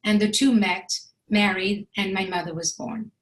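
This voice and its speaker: An older woman from Egypt whose mother tongue is French, telling her family history in an interview, reflective and articulate, often pausing to correct herself. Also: mid-range voice, steady tone, poor audio. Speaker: interview guest. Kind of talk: personal family history storytelling.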